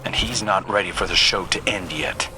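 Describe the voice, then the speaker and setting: An actor playing a serious military commander, earnest and businesslike, guiding a soldier through a video game mission. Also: male voice, low-pitched, moderate pace, natural voice, rough recording. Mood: serious, concerned. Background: music.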